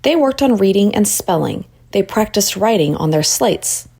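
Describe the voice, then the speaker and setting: A woman reading a children's story aloud as an expressive narrator. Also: mid-range voice, normal speed, creaky, slightly rough. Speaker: storybook reader.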